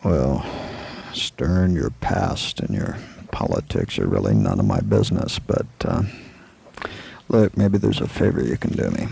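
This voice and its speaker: Man playing a deep, gravelly western marshal. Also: sing-song, warm tone, slight background noise, heavily acted. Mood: measured. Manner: halting, deliberate, folksy. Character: steady, weary, plain-spoken male frontier marshal. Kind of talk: western radio play acting.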